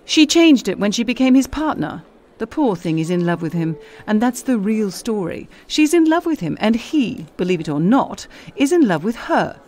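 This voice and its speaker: A woman's voice: medium pitch, very animated, normal speed, hardly any pauses, a little mellow. An actress playing a self-assured, all-knowing female schemer in a comedic audio drama. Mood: confident gossiping.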